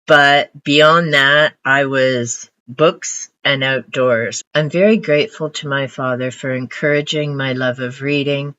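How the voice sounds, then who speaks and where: A woman's voice: rumbling low, fairly even intonation, a bit bright. An older woman whose first language is Hungarian, reflecting on her favourite books in a casual vlog to camera. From Canada. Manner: reflective, unhurried storytelling. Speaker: book vlogger. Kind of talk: casual book talk to camera. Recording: muffled lo-fi audio.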